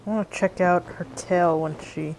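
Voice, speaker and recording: A woman's voice: lowish voice, steady tone, normal speed, creaky, a little mellow, slight background noise, poor audio. A woman giving casual commentary as she plays a video game. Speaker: gameplay streamer.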